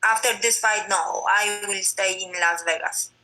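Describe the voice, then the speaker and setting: Woman with a Polish accent, bright tense voice, halting simple English, fillers. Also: mid-range voice, steady tone, low-quality recording. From Poland. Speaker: MMA fighter. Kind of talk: interview answers.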